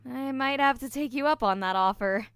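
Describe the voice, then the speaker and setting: Young female investigator played by a woman for audio fiction: high, bright voice, casual delivery. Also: normal speed, clean tone, no echo. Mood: agreeable, wry. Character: Lauren, young woman investigator, deferential but wry.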